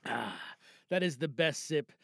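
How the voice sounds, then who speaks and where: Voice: mid-range voice, animated, unhurried, creaky, husky, no room sound, clean studio sound. A podcast host chatting casually, rambling and thinking aloud. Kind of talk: casual chat.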